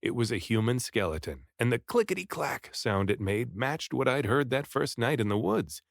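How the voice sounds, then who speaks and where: A man reading a story aloud in an expressive, dramatic first-person audiobook style. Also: mid-range voice, normal speed, gravelly, no echo, studio quality. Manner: dramatic, animated delivery with acted dialogue. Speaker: read-aloud narrator and voice actor.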